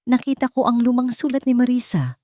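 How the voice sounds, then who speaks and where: A woman's voice: fairly high pitch, animated, fairly quick, mellow, no room sound, clean studio sound, pitched above the performer's own voice, close to an everyday voice. A woman voicing a betrayed, furious father who confronts his mother-in-law in a cold, menacing, accusing way.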